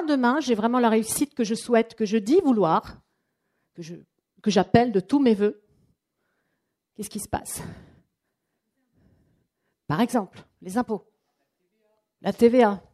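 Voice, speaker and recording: A woman presenting a live coaching workshop to a room of people, talking in a chatty, lively way and acting out what clients say. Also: a little high. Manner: lively, chatty, acts out quotes. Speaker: trainer and coach. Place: training room with an audience.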